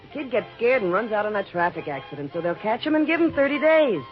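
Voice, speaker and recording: A woman's voice: mid-range voice, expressive, quick pace, soft background music, natural voice. An actress playing a defiant, evasive nightclub woman in a 1950s radio drama, curt, brittle and dismissive. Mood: defensive, scornful. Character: defiant, evasive nightclub woman shielding her kid brother. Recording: muffled 1950s radio broadcast recording.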